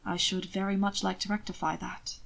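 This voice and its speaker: A woman voicing an intense, teasing aristocratic husband in a formal, measured way for an audiobook story. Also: medium pitch, level tone, vocal fry, a bit bright. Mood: soft, intent. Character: aloof, dignified aristocratic husband, teasing, intense alpha man.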